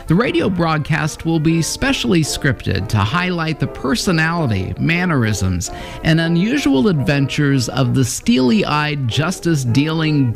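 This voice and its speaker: A man's voice: on the high side, vocal fry. A man reading a scripted introduction as host of a vintage radio program. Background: music.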